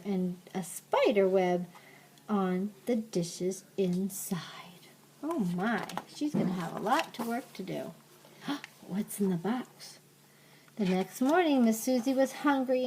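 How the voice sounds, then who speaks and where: An older woman reading a storybook aloud like a grandmother, with gentle, simple asides to a young listener. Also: medium pitch, slight background noise.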